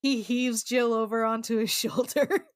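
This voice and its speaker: Slightly high-voiced woman game player. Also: steady tone, measured pace, smooth. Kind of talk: casual narration of own character's actions.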